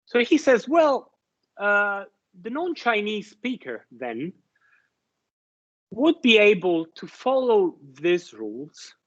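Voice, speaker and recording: A man giving a university lecture, explaining ideas haltingly with many restarts and fillers, often checking with his listeners. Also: sits high, big pitch swings, fairly bright tone, highs cut off.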